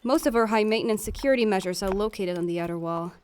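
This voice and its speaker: A woman voicing a brisk, formal, scheming female staff aide, articulate and dryly businesslike, in a dramatic fandub. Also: mid-range voice, a little mellow, slight background noise, natural voice. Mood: polite, businesslike.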